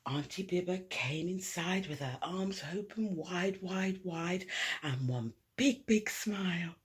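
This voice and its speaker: A woman reading a children's picture book aloud expressively, dramatic, with hushed asides and emphasis on repeated words. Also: lowish voice, animated, slow, slightly rough. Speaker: storyteller.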